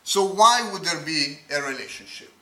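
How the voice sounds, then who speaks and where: A man with a foreign accent presents a research paper to a conference audience. His voice sits fairly high for a man. He stumbles through fillers and restarts and keeps checking in with the listeners. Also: animated, sharp tone. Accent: non-native accent. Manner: halting, frequent fillers and restarts; talks straight to the audience with checking "okay?" tags. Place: conference hall.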